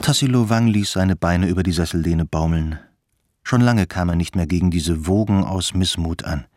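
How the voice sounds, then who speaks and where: Low-voiced male radio play narrator. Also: moderate pace, a little mellow. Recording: studio narration with faint music.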